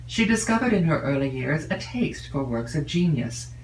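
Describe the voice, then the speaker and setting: A woman reading a classic novel as an audiobook narrator, in a measured, expressive way with drawn-out phrases. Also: sits low. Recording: roomy, distant mic with faint noise.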